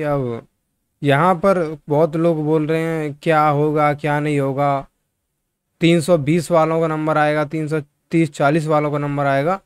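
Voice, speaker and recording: A young man talks casually to his viewers. His voice sits a little high and is very clean and smooth. He is close to the microphone, with a dry sound and frequent conversational check-ins. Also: darkish tone, no echo. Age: 20s. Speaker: YouTube job-news presenter. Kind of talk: casual informal update to viewers. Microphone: close microphone in front of mouth.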